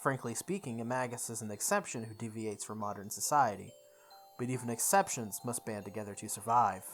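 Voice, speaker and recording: A let's play host narrates a visual novel in a mid-pitched voice over music. The reading is deliberate, like a story told aloud, and now and then trips on a word. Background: background music.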